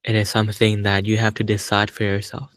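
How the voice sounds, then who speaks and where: A man leading a guided meditation as a teacher, speaking calmly and without hurry, in short phrases with long pauses. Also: fairly low pitch, no room sound, studio quality.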